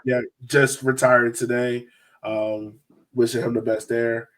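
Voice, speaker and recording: A man's voice: medium pitch, level tone, slow, background noise. A man hosting a sports podcast in a casual, chatty style. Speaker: podcast host.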